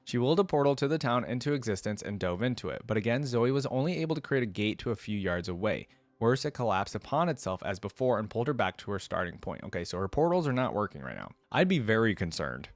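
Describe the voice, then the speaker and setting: A man's voice: on the low side, big pitch swings, fast talker, few pauses, no echo. A man hosting a reaction video, reading a story aloud expressively and adding casual commentary.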